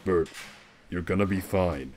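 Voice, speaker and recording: A man's voice: low voice, measured pace, a little mellow, a little hiss or hum. A man playing a confident, big-brotherly young recruit with a cocky, reassuring way, in a fan audio drama.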